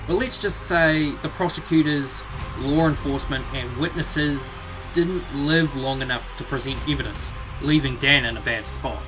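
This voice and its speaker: Man as wry, mid-pitched clan onlooker. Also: moderate pace, over music, natural voice, low-quality recording. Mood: explanatory. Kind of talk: conversational animated visual novel acting.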